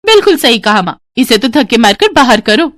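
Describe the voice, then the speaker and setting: A woman's voice: fairly high pitch, sing-song, brisk, fairly bright tone, a little hiss or hum, voice pushed up. A woman voicing a cruel, vain young stepsister in a storybook tale, sneering and taunting. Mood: spiteful.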